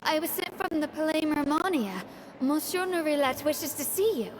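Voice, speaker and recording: An actress playing a polite young government messenger in a video game, courteous and formal. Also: female voice, sits high, normal speed, a little hiss or hum, natural voice.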